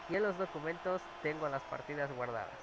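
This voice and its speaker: A Mexican man with a cold talks casually over his own match, exclaiming and cursing at each play. His voice sits a little high. A clip-on mic close to his mouth picks him up, with clear noise behind him. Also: brisk. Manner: chatty, exclaims and swears at plays. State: has a cold. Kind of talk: casual gameplay chat. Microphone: clip-on mic near his mouth.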